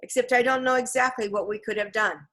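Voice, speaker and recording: A woman reading a children's storybook, voicing an earnest, cautious young boy in an expressive manner. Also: a little high, a little fast. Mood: hesitant. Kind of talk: children's storybook read aloud.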